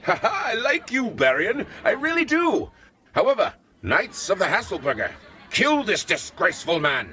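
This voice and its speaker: A man playing a pompous, theatrical lord in a medieval fantasy game, with grandiose, drawn-out, florid delivery. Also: sits high, wildly swooping pitch, sharp tone, heavily acted. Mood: amused, menacing. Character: pompous, theatrical powerful lord and tournament host.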